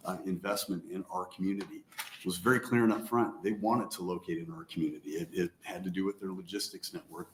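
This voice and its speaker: Brief: low-voiced man from Nebraska, business leader testifying earnestly, faint rumble behind. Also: low-quality recording. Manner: measured, earnest, frequent uh fillers. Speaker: chamber of commerce president testifying. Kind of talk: formal public testimony. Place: legislative hearing room.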